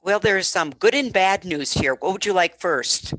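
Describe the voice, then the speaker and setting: A woman with a bright, forceful voice gives advice as an expert guest. She talks conversationally, like a coach addressing clients. The sound is close and dry, with no audible room. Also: on the low side, animated, normal speed, no echo, low-quality recording. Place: online group call.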